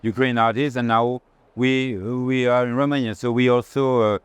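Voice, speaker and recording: A man's voice: medium pitch, fairly even intonation, moderate pace, smooth, no room sound. A man with a French accent chatting casually on an art fair tour, informal and often searching for words. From France. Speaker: art institution director in conversation.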